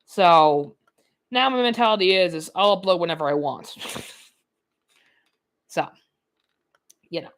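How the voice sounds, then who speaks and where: A woman's voice: on the low side, expressive, unhurried, long pauses. A nineteen-year-old woman giving rambling, chatty commentary while playing a video game, full of "like" fillers and frequent laughs. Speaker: gaming YouTuber. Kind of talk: rambling let's-play commentary.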